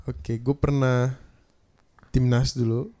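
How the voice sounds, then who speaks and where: Young guy musician on a chat show, dark mellow voice, laid back, trips over his words a bit. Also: mid-range voice, takes it slowly. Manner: relaxed, hesitant, restarts often. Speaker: guest musician. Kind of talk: casual interview chat.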